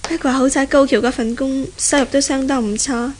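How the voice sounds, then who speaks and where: Woman playing sweetly polite young fiancee, high voice, hong kong cantonese, 70s radio drama, bit of hiss. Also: steady tone, a little fast, lightly acted. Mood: hopeful. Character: polite, earnest young bride-to-be, university graduate daughter. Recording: 1970s radio recording, faint noise.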